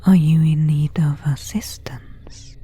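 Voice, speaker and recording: Woman playing a vampire countess, super breathy whisper, really slow and formal, polite but quietly predatory, asmr roleplay. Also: sits low, steady tone, full character voice. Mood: gently solicitous. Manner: slow, formal, old-fashioned diction.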